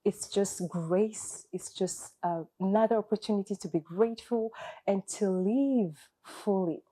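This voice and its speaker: A woman's voice: medium pitch, measured pace, dark tone, rough recording. A woman hosting a talk show in a studio, opening with emphatic, encouraging words of gratitude and faith before she welcomes her guest. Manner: encouraging, emphatic, with spiritual wording. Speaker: host.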